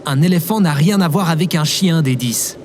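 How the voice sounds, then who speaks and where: A man's voice: a little high, moderate pace, a little mellow, a little hiss or hum, natural voice. A man playing a reluctant, easily flustered human leader in a comedic audio drama. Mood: exasperated.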